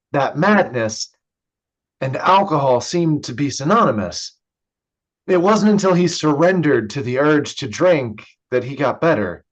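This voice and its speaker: A man playing a troubled young man struggling with alcohol, halting and raw, in a staged play reading. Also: mid-range voice, dull audio.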